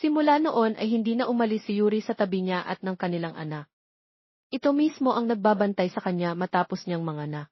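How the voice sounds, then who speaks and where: A woman's voice: mid-range voice, lively intonation, brisk, no echo, lo-fi sound. A woman reading a scripted romance story as narrator, in a close voice-over.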